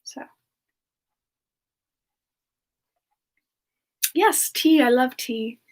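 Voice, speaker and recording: A woman playing a sweet, bashful female demon singer, soft-spoken and hesitant, in a semi-ASMR livestream chat. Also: high voice, expressive, measured pace. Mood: gentle, caring.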